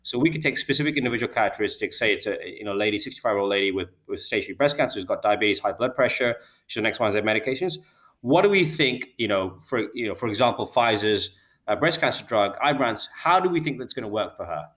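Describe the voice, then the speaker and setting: A British man in his thirties answering interview questions about his company, talking quickly and often repeating words as he restarts. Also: fairly low pitch, animated, a bit bright. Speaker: healthcare data company founder.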